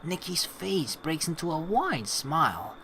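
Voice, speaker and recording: Animated, low-pitched woman gamer. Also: measured pace, a little hiss or hum. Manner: animated, rambling, casually sweary. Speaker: let's play host. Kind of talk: game playthrough commentary, reading text aloud. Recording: game music behind the voice.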